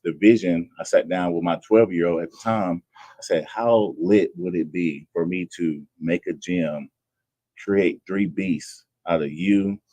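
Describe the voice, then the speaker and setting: A man in his thirties with a low, deep voice. He speaks sincerely and plainly in an interview, recorded dry and close. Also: fairly even intonation, measured pace, dark tone, dry recording. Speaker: personal trainer, guest. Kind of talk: earnest conversational interview.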